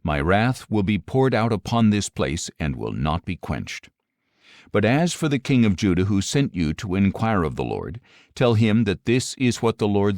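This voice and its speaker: A man narrating scripture in a measured, solemn, clearly phrased scripted reading, recorded close in a studio. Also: sits low, darkish tone, dry recording.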